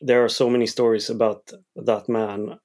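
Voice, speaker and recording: A man's voice: lowish voice, flat delivery, moderate pace, darkish tone. A man in an easygoing podcast chat, giving short answers with light laughs. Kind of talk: casual chat.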